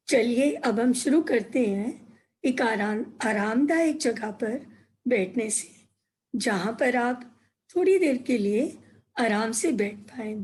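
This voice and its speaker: A woman narrating a guided meditation, giving gentle instructions in short, unhurried phrases. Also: on the high side.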